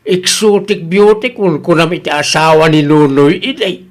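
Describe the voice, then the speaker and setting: A man's voice: a little high, normal speed, close to an everyday voice. A man with a Filipino accent playing a father who chides and banters with his grown child in a comedic radio drama. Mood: chiding.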